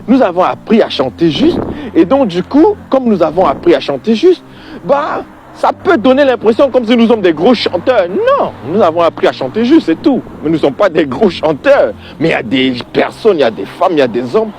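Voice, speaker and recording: A man's voice: high voice, sing-song, normal speed, a little mellow, a little hiss or hum. A man giving an informal religious opinion monologue, exasperated and emphatic, repeating points and addressing the listener directly.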